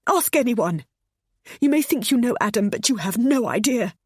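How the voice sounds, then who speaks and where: A woman's voice: medium pitch, normal speed, husky, dry recording, studio quality, voice pushed up, natural voice. A woman voicing a desperate older mother who sobs and pleads as her voice breaks. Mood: sobbing. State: sobbing.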